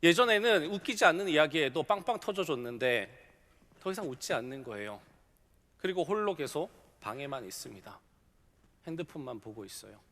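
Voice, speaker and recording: Lively preaching man in a reverberant church. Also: a little high, fairly quick. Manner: storytelling, swinging from loud to hushed. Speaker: pastor. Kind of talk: sermon. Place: large church sanctuary. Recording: long hall reverb.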